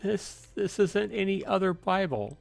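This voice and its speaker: Heartbroken wife played by a man, raised high voice, pleading and halting, visual novel drama, music underneath. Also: animated, creaky, heavily acted. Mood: sad, pleading.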